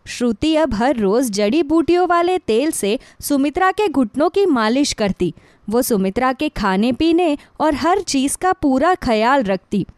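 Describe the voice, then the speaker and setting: A woman's voice: high-pitched, lively intonation, a little slow, darkish tone, dry recording, studio quality. A woman reading scripted narration for a moral bedtime story.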